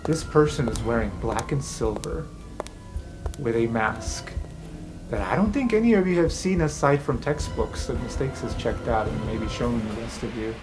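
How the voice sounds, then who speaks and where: A man's voice: mid-range voice, animated, normal speed, over music. A man running a tabletop game, narrating the scene casually with plenty of fillers. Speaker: game master.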